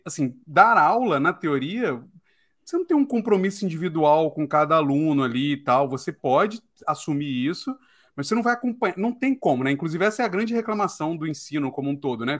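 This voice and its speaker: Opinionated Brazilian man, slightly high voice. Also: fairly bright tone. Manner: opinionated, emphatic, keeps asking "né?". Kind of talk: casual podcast discussion.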